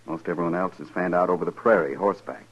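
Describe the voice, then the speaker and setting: Low, husky cavalry lieutenant. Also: male voice, fast talker. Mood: grave, businesslike. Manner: formal, brief, military. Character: dutiful, courteous young cavalry lieutenant, troubled. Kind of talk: 1950s radio western acting. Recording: dull lo-fi old radio broadcast.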